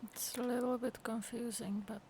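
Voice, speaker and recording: A woman live-streaming a game, giving casual, halting commentary as she thinks aloud. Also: a little high, flat delivery, dark tone, a little hiss or hum.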